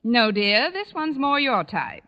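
A woman playing a wry, sharp-tongued schoolteacher with dry, sarcastic timing in a 1940s radio comedy. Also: on the high side, big pitch swings, a little slow, lo-fi sound. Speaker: actor in radio situation comedy. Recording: noisy old 1940s radio broadcast.

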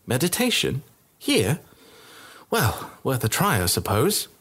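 A man's voice: medium pitch, wildly swooping pitch, slow, fairly bright tone, breathy edge, pitched above the performer's own voice, heavily acted. A man voicing a haughty young princess with an imperious manner. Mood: musing.

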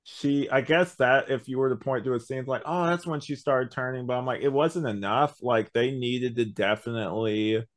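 A man's voice: mid-range voice, normal speed, few pauses. A man co-hosting a podcast, chatting casually in a rambling, opinionated way and often quoting his own thoughts. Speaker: podcast co-host reviewing an audio drama. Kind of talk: casual chat.

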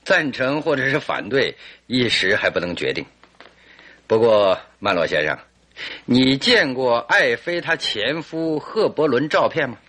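An actor playing a shrewd, authoritative detective in a radio drama, deliberate and probing. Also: male voice, medium pitch, lively intonation, bright, forceful tone.

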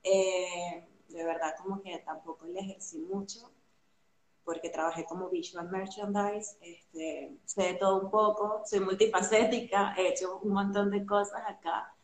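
A woman's voice: mid-range voice, measured pace. Chatty Venezuelan woman in an echoey room. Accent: Venezuelan Spanish. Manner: chatty and animated, many fillers, laughs easily. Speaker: guest artist. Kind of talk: casual interview chat. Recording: roomy echo.

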